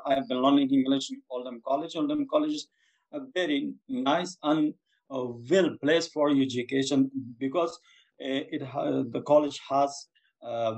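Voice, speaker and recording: A man from Afghanistan, an ESOL student, answering podcast questions earnestly and haltingly, with frequent fillers. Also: medium pitch, a little mellow, rough recording.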